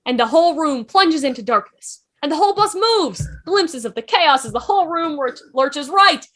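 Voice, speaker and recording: Female narrator, high register, punchy dramatic reading of stage directions for an amateur script read. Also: lively intonation, normal speed, fairly bright tone. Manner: punchy, reads sound effects aloud.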